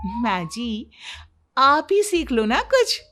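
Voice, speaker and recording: A woman voicing a quarrelsome, sharp-tongued young wife who complains and retorts in a cartoon moral story. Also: on the high side, sing-song, slow pace, soft background music, heavily acted. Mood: indignant, complaining.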